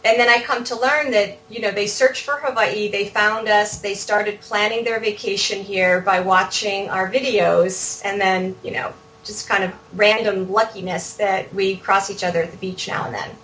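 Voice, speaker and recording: Woman, middle pitch, interview guest, casual conversational delivery, chatty, thinks aloud. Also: steady tone, moderate pace, few pauses, fairly bright tone, low-quality recording. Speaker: interview guest, video podcast host.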